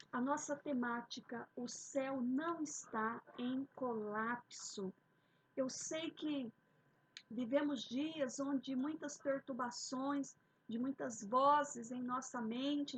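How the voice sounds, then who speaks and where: A woman preaching a sermon, opening with a fervent prayer and often addressing her listeners warmly. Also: high voice, breathy edge, low-quality recording. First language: Portuguese. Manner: fervent, repeated "queridos" and "ó Pai".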